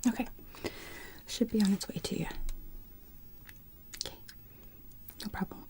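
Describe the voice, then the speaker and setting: A woman's voice: medium pitch, fairly even intonation, measured pace, noisy background. A woman whispering through an ASMR roleplay as a polite hospital receptionist taking phone calls.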